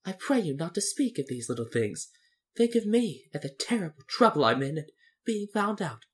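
Guy doing a fussy little old fake wizard, high shaky voice, meek n apologetic. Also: big pitch swings, moderate pace. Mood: pleased, pleading. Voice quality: trembling.

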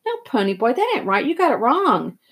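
A woman's voice: on the high side, very animated, a little fast, slightly rough, pitched above the performer's own voice. Wisecracking young street tough, read by a woman, loyal, blunt and slangy, storybook style. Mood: dumbfounded. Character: wisecracking, tough, loyal teenage boy greaser.

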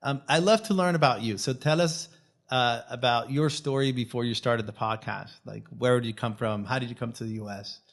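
A man from Venezuela whose first language is Spanish, hosting a casual podcast interview with lively questions. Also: mid-range voice, fairly quick. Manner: short backchannels, lively questions. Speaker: podcast host.

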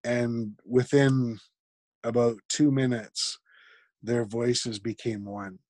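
A man, a guest in an interview, talking reflectively and haltingly, with frequent restarts. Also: medium pitch, fairly even intonation, darkish tone, no room sound. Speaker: podcast guest. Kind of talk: conversational interview.

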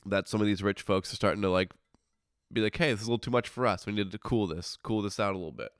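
Congested man, low-pitched podcast host. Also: quick pace, clear, resonant tone, dry recording, clean studio sound. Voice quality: congested, stuffy nose. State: congested sinuses. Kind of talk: casual solo podcast monologue.

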